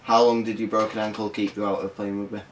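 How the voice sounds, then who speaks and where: Fast-talking male interviewer. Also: lowish voice, level tone, a little hiss or hum. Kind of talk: quick interview questions.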